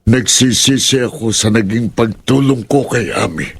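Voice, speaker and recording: An actor playing a remorseful older village sorcerer in a horror audio drama, with a husky voice. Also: male voice, mid-range voice, normal speed. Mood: remorseful, solemn.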